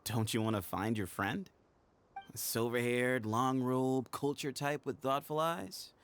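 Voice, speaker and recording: A man's voice: mid-range voice, steady tone, a little slow, clean tone, close to an everyday voice. A man voicing a cocky, teasing young band leader in a video game, glib and theatrical in delivery. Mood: commanding, casual.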